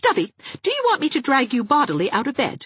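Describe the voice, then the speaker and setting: A woman voicing a brisk, exasperated young woman in an audiobook, sharp, insistent and scolding. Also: sits high, wildly swooping pitch, no echo, pitched above the performer's own voice. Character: brisk, sarcastic, exasperated young woman, a bossy loyal friend. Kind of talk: audiobook character voice. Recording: thin phone-like narrow-band sound.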